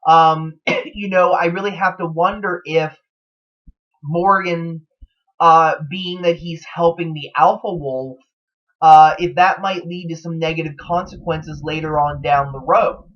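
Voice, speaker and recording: A man's voice: a little high, steady tone, measured pace. A man giving casual, speculative commentary as a vlog host, in a hesitant, rambling manner. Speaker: host of a TV show theory vlog. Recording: dull, lo-fi recording.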